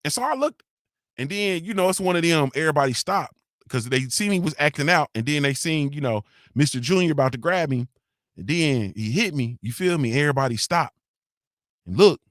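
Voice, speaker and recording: A man's voice: on the high side, expressive, a little fast, fairly bright tone, no echo, studio quality. A man hosting a morning show, chatting loosely and cussing often, with slangy storytelling and constant "you feel me" tags. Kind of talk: casual live-stream chat reading comments.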